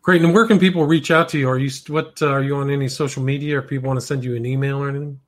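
A man hosting an interview, tired and relaxed in a casual conversational way. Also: mid-range voice, racing. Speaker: podcast host.

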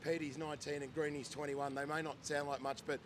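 Hoarse man reciting stats. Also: on the high side, level tone, quick pace, fairly bright tone, a little hiss or hum. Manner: reels off stats, enthusiastic. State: hoarse from shouting. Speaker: co-host. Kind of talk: casual podcast chat.

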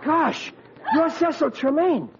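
A very high, swooping voice for a gullible American working-class sad sack. He is slangy, whiny and earnest, in 1940s radio comedy manner. The old recording is muffled and noisy. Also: expressive, takes it slowly, exaggerated voice. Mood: awkward, awed.

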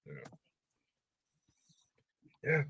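A man's voice: very slow, bright, forceful tone, highs cut off. A man co-hosting a sports podcast in a casual, laid-back way with hesitant phrasing. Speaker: soccer podcast co-host.

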